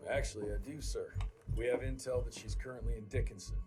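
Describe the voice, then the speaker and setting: A man playing a gruff, determined vampire hunter in a video game, terse and dry. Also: medium pitch, steady tone, fast talker, lightly acted. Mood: respectful, firm. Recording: music under the voice.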